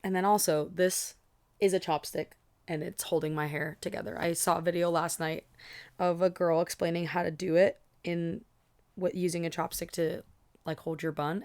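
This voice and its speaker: Young woman hosting a book stream, kinda scratchy tired voice, low-ish and smooth underneath, rambly. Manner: chatty, rambling, many fillers and asides. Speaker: streamer hosting a live read-along. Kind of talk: casual chat to a live audience.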